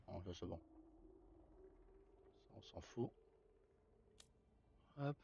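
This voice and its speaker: A man's voice: low-pitched, animated, very slow, creaky, warm tone. A man giving casual live game commentary, muttering short remarks to himself as a streamer. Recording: muffled audio with faint background noise.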